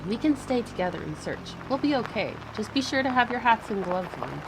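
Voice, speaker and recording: A woman playing a gentle practical mom. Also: on the high side, very animated, a little fast, noisy background. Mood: urging. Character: caring family mother, gentle and practical. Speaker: mother in a family audio drama. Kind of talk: amateur audio drama acting. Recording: music bed under the voice.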